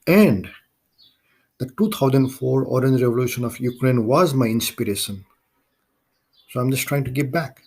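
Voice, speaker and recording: A man from Nepal with a Nepali accent hosts a solo podcast, speaking in halting, deliberate phrases. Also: medium pitch, lively intonation, gravelly, darkish tone, husky. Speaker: podcast host.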